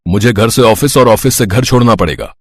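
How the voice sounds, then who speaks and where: Man playing a stern, deliberate office boss. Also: fairly low pitch, no echo, studio quality, natural voice. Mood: businesslike. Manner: deliberate, authoritative.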